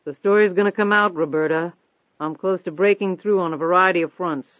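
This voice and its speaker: Guy doing a confident nosy reporter man, pressing hard, audiobook, higher-ish voice, sounds like a phone line. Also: a little fast, no room sound, lightly acted. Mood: insistent. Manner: insistent, steady, probing. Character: pushy, persistent male newspaper reporter, coaxing and confident. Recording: thin phone-like band-limited audio.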